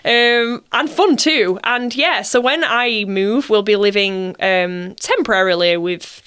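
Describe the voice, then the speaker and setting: A British woman with a clear, smooth voice at an everyday mid pitch. She talks casually and enthusiastically as an interview guest, in a chatty, conversational way. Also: slow, a bit bright.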